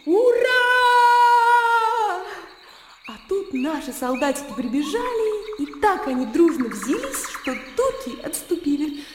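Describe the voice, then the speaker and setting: An actress playing a lively, naive young Russian gentlewoman in a radio drama, talking in an animated, girlish way. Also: extremely high pitch, exaggerated voice. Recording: echoey hall-like reverb, clear background noise.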